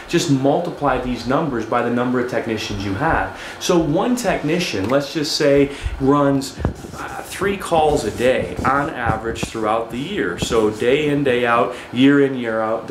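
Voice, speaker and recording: A man's voice: medium pitch, slight background noise. Man teaching at a flip chart. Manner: conversational, repeats words, asks "right?" often; stands and writes on a flip chart while talking. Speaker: HVAC business trainer. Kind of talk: whiteboard training lecture. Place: studio with a dark backdrop, indoors. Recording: echoey room, faint background ambience.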